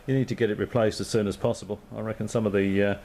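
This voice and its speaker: An Australian man talks over a ham radio in a relaxed, rambling way, leaning on fillers and closing each turn with call signs. His voice sits fairly low. A faint hiss sits under him. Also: very fast. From Perth, Western Australia. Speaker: amateur radio operator. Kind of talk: casual radio chat. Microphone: radio transceiver microphone.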